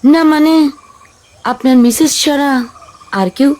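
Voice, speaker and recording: A woman playing a high-voiced, anxious young woman. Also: slow, darkish tone.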